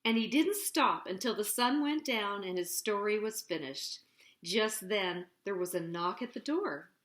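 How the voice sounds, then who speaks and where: A woman hosting children's storytime, narrating a picture book in an expressive, deliberate, sing-song way. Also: mid-range voice.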